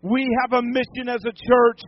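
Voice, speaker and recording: A high-pitched male pastor from New England preaches to his congregation, pressing his points through repetition and direct questions. The recording sounds dull and lo-fi, as if taken in a church sanctuary. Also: flat delivery, moderate pace. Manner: emphatic, repeats phrases, asks the congregation questions. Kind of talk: sermon.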